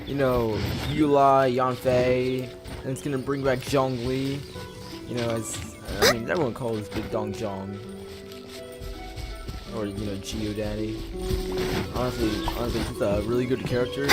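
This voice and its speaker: A young man casually commentating a live game stream, rambling and often repeating himself. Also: medium pitch. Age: teenager or young adult. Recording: game music over the voice. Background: game music and sound effects.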